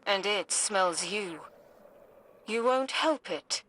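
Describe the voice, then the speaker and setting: A woman's voice: medium pitch, expressive, slow, noisy background. An actress voicing an enigmatic, solemn female oracle in a video game, cryptic and portentous. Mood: grave, haunting. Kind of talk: video game cutscene voice acting.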